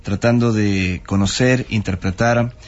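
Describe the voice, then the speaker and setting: A Peruvian man in his thirties, a radio guest giving reflective, measured answers in a studio interview. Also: lowish voice, fairly even intonation. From Peru. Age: 30s. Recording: slightly dull broadcast audio.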